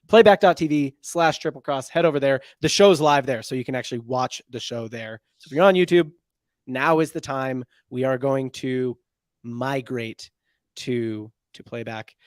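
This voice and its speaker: A man's voice: mid-range voice, normal speed, smooth, dry recording, studio quality. A man hosting a casual sports podcast, talking loosely with lots of fillers and restarts as he runs through giveaways and sign-offs. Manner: loose, rambling delivery with many fillers and restarts. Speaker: podcast host.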